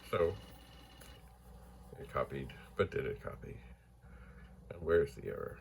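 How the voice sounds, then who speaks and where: A soft-spoken man casually thinking aloud while testing old computer gear in his home computer room, trailing into whispered asides. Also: a bass voice, very animated, unhurried, gravelly, slight background noise. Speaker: retro computer vlogger. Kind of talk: casual rambling narration while testing hardware. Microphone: phone mic.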